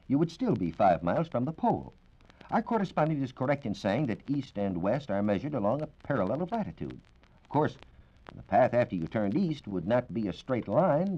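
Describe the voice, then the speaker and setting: An American man explaining science in a measured, precise, formal way as a reporter on a 1940s radio program. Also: on the low side. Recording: dull 1940s radio recording.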